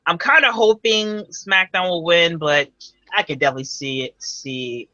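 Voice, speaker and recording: A man co-hosting a casual online panel, excitable and quick to exclaim. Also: fairly high pitch, dry recording, dull audio. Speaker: panel co-host.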